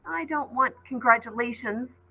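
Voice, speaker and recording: A woman's voice: fairly high pitch, measured pace, husky, dull audio, voice pushed up. A woman voicing a stubborn, frightened sixth-grade girl in a storybook, dogged and snappish. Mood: defiant.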